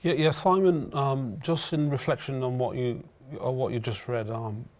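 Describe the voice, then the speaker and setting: Birmingham guy on a talk show, fired up, keeps restarting his sentences, mid-pitched voice. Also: steady tone, moderate pace, a little mellow. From England. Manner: impassioned, with frequent restarts and hesitations. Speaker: guest commentator. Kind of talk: earnest talk-show discussion.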